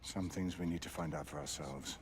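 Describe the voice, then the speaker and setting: An actor playing a grave, resolute British knight in a game cutscene, terse, formal and authoritative. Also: male voice, rumbling low, fairly even intonation, brisk, a little hiss or hum. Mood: troubled, pensive. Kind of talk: dramatic game cutscene acting.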